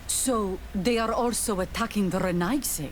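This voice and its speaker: Actress as a wary, proud warrior chieftess, noisy backdrop. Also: female voice, mid-range voice, a little slow, close to an everyday voice. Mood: wary, defensive. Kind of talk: video game dialogue acting. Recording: game audio with clear background noise.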